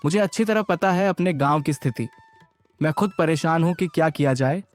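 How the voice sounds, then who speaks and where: An actor playing an anxious, folksy village headman in an animated moral story. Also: on the high side, fairly quick, faint music behind, natural voice. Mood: worried.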